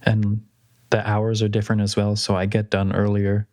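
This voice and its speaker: Low-voiced young man, dry recording. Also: monotone, normal speed, a little mellow, no room sound. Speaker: podcast co-host. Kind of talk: casual chat.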